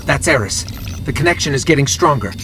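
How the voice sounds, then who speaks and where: A man voicing a small floating robot companion in a video game, earnest, wry and quick-witted, with a light robotic filter. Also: mid-range voice, animated, background noise. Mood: wry, curious. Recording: loud game music behind voice.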